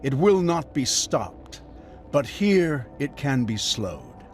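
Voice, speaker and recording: Slow, doom-laden old warlock. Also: male voice, medium pitch, sing-song, a little mellow. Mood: foreboding. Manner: solemn, incantatory. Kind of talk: dramatic game narration.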